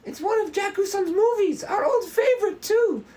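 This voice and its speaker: A man voicing a doting, fussing wife in falsetto at a script table read. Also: moderate pace, a little mellow. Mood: excited, sweet.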